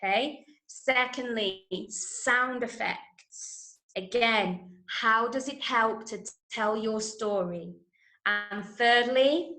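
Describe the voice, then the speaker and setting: A woman from Manchester with an English accent teaching an online workshop to school pupils in a clear, emphatic, instructive manner, often checking they understand. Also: fairly high pitch, a bit bright, reverberant, rough recording. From Manchester, England. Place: indoors, online video call.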